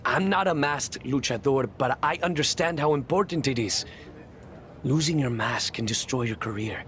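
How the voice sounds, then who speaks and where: A conscientious male wrestler played by an actor in a video game drama, anxious about his allies. His voice is medium in pitch and plainly conversational, with faint ambience behind it. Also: moderate pace, a bit bright, slight background noise, natural voice. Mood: concerned. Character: conscientious, worried male wrestler, apologetic ally. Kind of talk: scripted video game cutscene acting.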